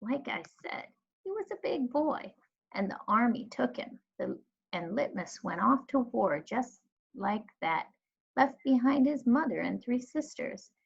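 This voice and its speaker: Elderly female librarian, played by a woman reader, fond dramatic storybook teller, unhurried and emphatic, dull recording. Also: fairly high pitch, sing-song, vocal fry, slightly rough, pitched above the performer's own voice, exaggerated voice. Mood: solemn. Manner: slow, drawn-out, emphatic phrasing. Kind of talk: storybook read-aloud.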